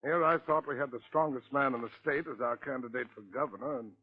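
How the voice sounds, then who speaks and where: An actor playing an earnest, formal committee man with a husky voice in an old radio drama. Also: medium pitch, fast talker, close to an everyday voice. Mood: worried. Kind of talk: 1940s radio drama acting. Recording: thin, narrow-band old radio recording.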